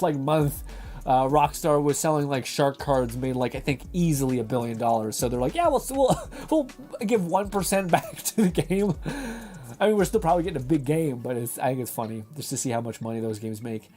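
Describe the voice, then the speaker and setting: A man's voice: on the high side, animated, brisk, a little mellow, faint music behind. A young man hosting a livestream in rambling casual chat, reading chat aloud and laughing, his voice going from a dry throat. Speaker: livestream host.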